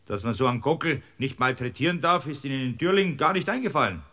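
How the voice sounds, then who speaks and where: An actor playing an irascible, impatient village-court judge with a Bavarian accent in a radio drama, blustering and sarcastic. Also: male voice, mid-range voice, lively intonation, fast talker, bright, forceful tone. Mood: dry, official. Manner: blustering, sarcastic, barking orders. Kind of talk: German radio drama acting.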